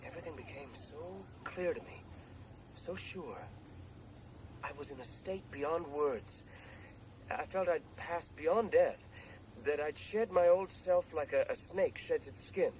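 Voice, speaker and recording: An actor playing a soul-searching man confiding to his brother in a halting, earnest radio drama monologue. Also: on the high side, a little mellow, lightly acted. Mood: rapt, awed. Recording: noisy 1980s radio drama tape.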